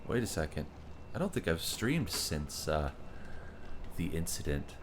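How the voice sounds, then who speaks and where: A man's voice: deep voice, sing-song, measured pace, background noise. A man in his thirties chatting casually with viewers on a live game stream and reading their messages aloud. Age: 30s. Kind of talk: casual live-stream chat while gaming.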